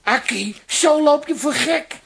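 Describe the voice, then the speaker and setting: Female as chatty hairdresser for radio comedy: wry, gossipy, highish voice, very lively intonation. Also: a little slow, vocal fry, heavily acted. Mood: wry, teasing. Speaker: radio drama actor. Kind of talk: comic radio play acting.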